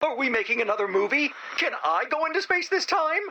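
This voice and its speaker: Bright, bouncy, anxious childlike robot. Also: high voice, very animated, brisk, lo-fi sound, a little hiss or hum, exaggerated voice. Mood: curious, upbeat. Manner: timid, earnest, hesitant. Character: anxious, childlike, well-meaning robot, literal-minded. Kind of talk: animated video game voice acting.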